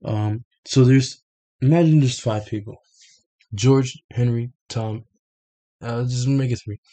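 A man's voice: mid-range voice, steady tone, slow, dark tone. A young man in his twenties in a small bedroom, explaining a picture over a screen share at his desk webcam, hesitant and full of fillers and restarts. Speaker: self-made explainer. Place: small bedroom with red lighting, indoors.

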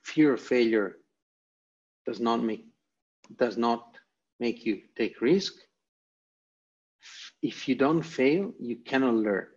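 Slow-paced Italian man giving a lecture. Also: mid-range voice, a little mellow. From Italy. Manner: emphatic repetition in short phrases. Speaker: guest presenter. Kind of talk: motivational online lecture with slides. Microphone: video call. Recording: dull, lo-fi video call audio.

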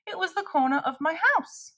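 A woman voicing a cheerful young male frog who tells a childhood story in an animated, emphatic way for small children. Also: very high voice, moderate pace, voice pushed up, full character voice. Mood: bright storytelling.

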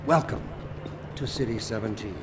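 An actor playing a smug, older administrator giving a grandiose, measured propaganda address in a video game over a public speaker. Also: male voice, lowish voice. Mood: pompous welcome. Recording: very noisy station ambience behind broadcast.

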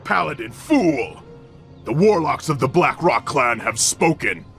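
A man playing a menacing, fanatical orc warlock in a video game, with a gravelly growl and ominous proclaiming. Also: on the high side, very animated, a little slow, fairly bright tone, soft background music, heavily acted.

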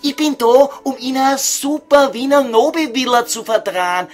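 A man's voice: very high voice, moderate pace, soft background music. A man playing a cheeky, foul-mouthed estate agent in a comedy audio sketch, talking colloquially with a Viennese accent. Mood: wheedling, familiar. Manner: crude, wheedling, colloquial.

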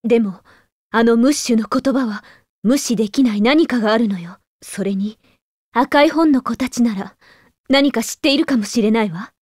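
A woman acts out a serious young noblewoman fighter for an anime game. Her voice is high and studio-dry. She speaks politely, with composed command and firm resolve. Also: moderate pace, a little mellow, dry recording, close to an everyday voice. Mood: pensive.

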